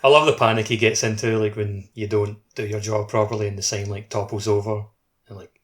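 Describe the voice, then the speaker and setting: Low, fairly even-toned man chatting. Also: a little fast, over a noisy crowd. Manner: loose, conversational, peppered with "like". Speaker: podcast co-host. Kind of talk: casual video game podcast chat.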